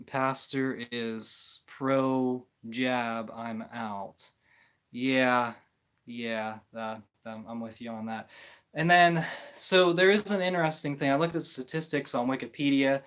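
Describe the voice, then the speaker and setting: Halting, casual male livestream host. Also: mid-range voice. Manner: hesitant, halting, often rephrasing mid-sentence. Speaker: livestream host answering viewer comments. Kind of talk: casual, rambling opinion talk on religion.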